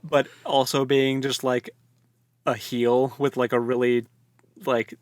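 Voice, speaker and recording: A man's voice: medium pitch, level tone, slow pace, dry recording, clean studio sound. A man co-hosting an informal book discussion, giving wry, conversational commentary. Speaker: co-host of a book discussion show. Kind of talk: casual chat, book discussion.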